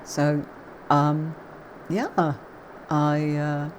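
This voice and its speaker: Deep-pitched British woman, neutral accent, chatty livestream tarot teacher, faint noise in background. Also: flat delivery, drawn-out words. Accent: neutral southern English, faint Yorkshire. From Yorkshire, England. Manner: chatty, warm greetings to viewers, many fillers. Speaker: tarot reader hosting a livestream.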